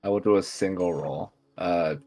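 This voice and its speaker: A man's voice: low voice, moderate pace, gravelly, over music. A man chatting casually as a co-player in a game, dry and joking. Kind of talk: casual gaming chat.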